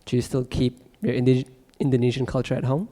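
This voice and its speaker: A man's voice: medium pitch, flat delivery, fast, dark tone, big-room sound. A man hosting a podcast, who laughs along and puts questions to his guests. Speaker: podcast host. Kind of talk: casual interview questions.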